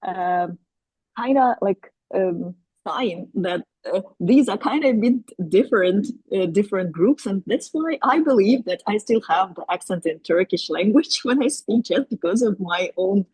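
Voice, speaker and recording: A woman whose first language is Crimean Tatar, speaking up from the audience at a discussion, hesitant and pausing often with fillers as she searches for words. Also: mid-range voice, lively intonation, darkish tone, husky, dry recording, low-quality recording. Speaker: audience member asking a question. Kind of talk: spontaneous discussion remarks.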